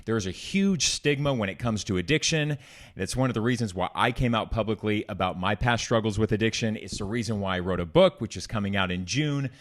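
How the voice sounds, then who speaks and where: An earnest man hosting a podcast, speaking in a somewhat low voice. He talks in long, run-on stretches as he draws out his guest's story. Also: fairly quick. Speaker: podcast host. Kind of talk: conversational interview.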